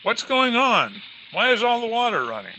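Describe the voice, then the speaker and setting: An actor playing a stern, indignant small-town mayor in an old-time radio comedy. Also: male voice, extremely high pitch, wildly swooping pitch, normal speed, pushed and bright, heavily acted. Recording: noisy home recording with room ambience.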